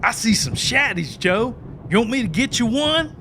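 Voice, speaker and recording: A boisterous, good-natured country wild man with a drawl. His voice is bright and jumps all over in pitch, in a folksy audiobook drama with light noise behind. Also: sing-song, moderate pace, full character voice. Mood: easygoing.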